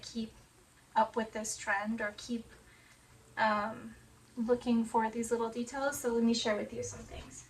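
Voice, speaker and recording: A woman with a hoarse, recovering voice chats casually about her sewing in a soft, rambling way, full of "like" and "um". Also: a little high, distant mic, a little hiss or hum, poor audio. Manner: soft-spoken, rambling, many "like" and "um" fillers. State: hoarse, voice still recovering from laryngitis. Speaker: sewing vlogger. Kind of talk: casual chat to camera. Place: home sewing room. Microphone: phone camera.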